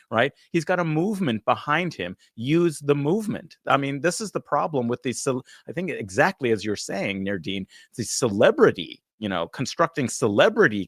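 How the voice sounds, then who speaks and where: A man moderating a livestream panel discussion, talking in a halting way with many fillers and restarts. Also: mid-range voice, dry recording, studio quality.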